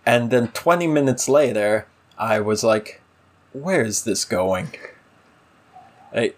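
A man's voice: medium pitch, lively intonation, slow pace. A man co-hosting a casual discussion show, giving opinionated, exasperated critique in a conversational way. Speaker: podcast co-host.

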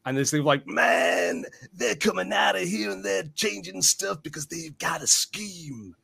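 A man's voice: sits high, measured pace, gravelly, pushed and bright, husky. A man hosting a podcast, debating casually in conversational speech. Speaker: podcast host.

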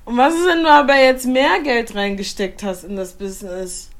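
A woman's voice: on the high side, fairly even intonation, moderate pace. Chatty German woman, casual guest. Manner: chatty, mixes in English phrases. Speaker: podcast guest. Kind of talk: casual chat.

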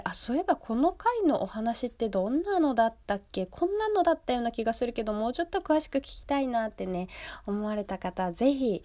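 A woman's voice: on the high side, warm tone. A woman presenting a radio show in a polite, chatty, conversational way. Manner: polite, chatty, often seeking agreement.

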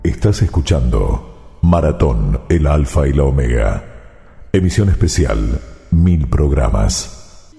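An extremely low-voiced man makes a formal scripted radio announcement. His tone is dark and measured, wrapped in long reverb. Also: expressive, creaky. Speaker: station announcer. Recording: reverberant voice-over production. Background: faint music.

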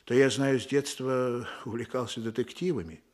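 An actor playing an earnest, fatherly Soviet police chief in a radio drama, coaxing and emphatic, with a husky voice. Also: mid-range voice, steady tone, normal speed, lightly acted. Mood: earnest, persuasive. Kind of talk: Russian radio drama acting.

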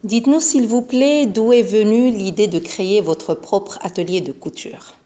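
A woman's voice: medium pitch, normal speed, dark tone. A woman hosting a radio program, asking polite, formal questions and addressing her listeners directly. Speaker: radio show host.

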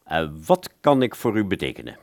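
An actor plays a male bookshop salesman in a radio drama. He is polite, fussy and a touch patronizing, explaining things pedantically. His voice is on the low side and very animated in pitch. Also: brisk, gravelly, heavily acted. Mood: obliging. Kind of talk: radio play dialogue.

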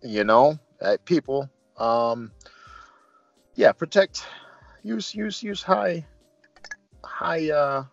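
A man's voice: on the high side, extremely slow, gravelly, pauses often. A man casually talking through a film as a review host, conversational with playful asides. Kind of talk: casual film discussion, recapping scenes. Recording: roomy, dull sound with music.

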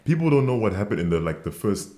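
A young man with a deep voice, co-hosting a casual podcast chat and teasing his co-host. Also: big pitch swings, fast talker, mellow. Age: young adult. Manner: teasing, jokey.

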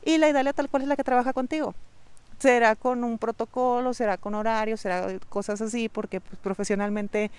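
Mexican woman coaching, smooth close voice. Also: a little high, steady tone, fairly quick, darkish tone, dry recording. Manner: direct, coaching, conversational, repeats points for emphasis. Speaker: podcast host. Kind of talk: solo podcast monologue on online business.

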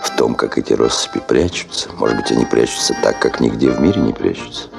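A very low-voiced expedition chief, driven and authoritative, with a creaky edge to his voice. He speaks deliberately and broodingly, in Soviet radio drama style, with music behind him. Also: expressive, exaggerated voice. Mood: brooding, insistent. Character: driven, commanding male head of a gold-prospecting expedition.

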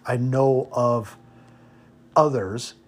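A man's voice: medium pitch, fairly even intonation, extremely slow. An American man hosting an informal podcast monologue, giving opinionated advice in a blunt way and repeating points for emphasis. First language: English. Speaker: expat podcast host.

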